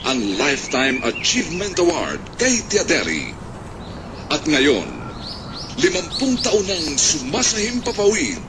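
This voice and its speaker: A man's voice: on the high side, wildly swooping pitch, unhurried, background noise. A man with a Filipino accent announcing a radio programme in a grand, formal, declamatory style. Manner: stately, declamatory.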